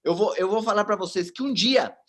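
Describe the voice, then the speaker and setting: High-voiced man telling a motivational story. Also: a little fast. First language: not Portuguese. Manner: animated storyteller, addresses the audience directly. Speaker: motivational speaker.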